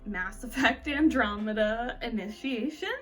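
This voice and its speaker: A woman's voice: on the high side, lively intonation, measured pace, fairly bright tone, recorded from a distance, faint music behind. A woman giving a casual, chatty book review in an informal, giggly manner, with plenty of filler words.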